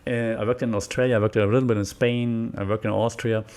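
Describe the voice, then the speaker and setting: A man's voice: lowish voice, level tone, quick pace, a little mellow, smooth. A man from Germany with a German accent, talking casually on his own podcast, rambling with many fillers and restarts. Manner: rambling, frequent fillers and restarts, laughs often. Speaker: podcast host. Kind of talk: casual solo podcast talk.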